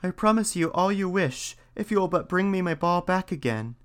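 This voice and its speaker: A man voicing a spoiled young princess in a folktale reading, pitching his voice up into a high, pleading tone. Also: expressive, moderate pace, a bit bright, clean tone. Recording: faint guitar music behind.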